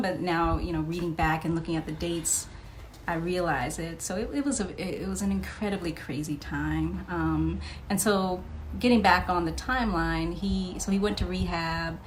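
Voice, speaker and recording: Chatty low-voiced woman, noisy recording. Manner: chatty, often laughing as she tells stories. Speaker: podcast guest. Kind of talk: casual anecdotal interview storytelling. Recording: clearly noisy live podcast recording.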